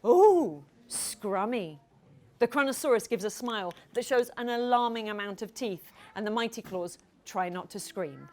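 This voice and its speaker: A woman children's author reading her story aloud to young listeners in a playful, expressive style. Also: a little high, moderate pace, hardly any pauses. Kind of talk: animated storytelling to a live audience of children.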